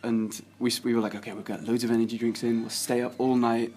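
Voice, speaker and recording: A man's voice: medium pitch, flat delivery, quick pace, darkish tone, a little hiss or hum. A young man from England, a filmmaker guest, chatting casually with lots of hesitation and easy laughter. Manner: rambling, hesitant, laughs easily. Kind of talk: casual chat.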